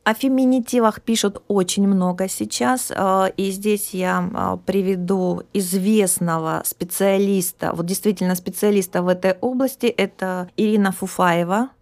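A middle-aged woman speaking as a philologist guest in a podcast interview, explaining at an even, measured pace and now and then losing her thread. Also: mid-range voice. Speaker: philologist guest, department head.

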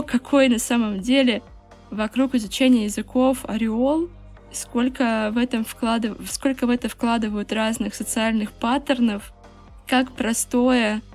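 A young woman hosting her own podcast, talking candidly about her life, often restarting her sentences. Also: on the high side. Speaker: podcast host. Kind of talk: personal podcast monologue.

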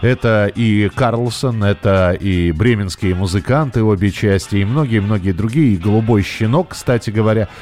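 A man's voice: fairly low pitch, normal speed, hardly any pauses, a little mellow, soft background music. A middle-aged man presents a live radio call-in in a loose, conversational style. He addresses his listeners directly, rambles a little, hesitates and corrects himself as he thinks aloud. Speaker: radio host.

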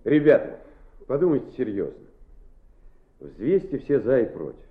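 An actor playing a serious, deliberate school director addressing pupils in a radio play. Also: male voice, mid-range voice, huge pitch swings. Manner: grave, deliberate. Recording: echoey, tinny, noisy old radio play.

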